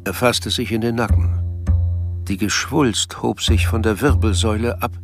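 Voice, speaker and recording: A man's voice: fairly low pitch, steady tone, normal speed, over music. A man reading scripted literary narration as the narrator of a radio drama.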